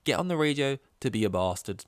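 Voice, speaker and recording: A man's voice: mid-range voice, expressive, quick pace, clear, resonant tone, dry recording, clean studio sound. A man hosting a podcast, giving casual, opinionated sports rankings in a rambling, sweary and sarcastic way. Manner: rambling, sweary, sarcastic, acts out mock quotes. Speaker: podcast host.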